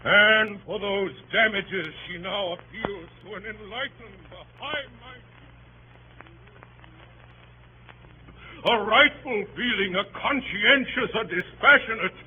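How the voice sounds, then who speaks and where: An actor playing a pompous, bullying Victorian barrister in theatrical 1930s radio style, bombastic and sharply emphatic. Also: male voice. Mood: mournful, grandiose. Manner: bombastic, grandiloquent, sharply emphatic, swooping from hushed to booming. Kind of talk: 1930s radio acting, courtroom oratory. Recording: dull, noisy vintage 1930s radio recording.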